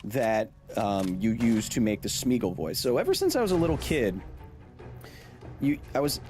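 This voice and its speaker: An excitable man chats through a live game stream, joking and yelling at what happens on screen. His pitch swings widely as he talks, and faint music plays under his voice. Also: normal speed. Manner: goofy, excitable, shouts at the game. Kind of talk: live gameplay commentary.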